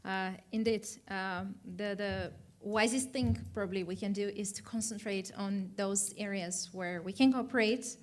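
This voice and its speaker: A woman moderating a conference panel, handing the floor to speakers in a formal, measured way. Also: mid-range voice, steady tone, a little hiss or hum. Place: conference hall.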